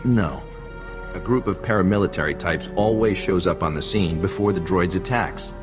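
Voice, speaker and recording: Low, wry male secret agent played by an actor. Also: in a large hall, over music, natural voice. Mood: wry, relaxed. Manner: casual, wry, unhurried. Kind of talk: video game cutscene dialogue.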